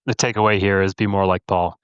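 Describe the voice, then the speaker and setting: A man in casual podcast conversation, explaining things in a measured way, with a slightly dull recording. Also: deep voice, fairly even intonation, smooth, dry recording.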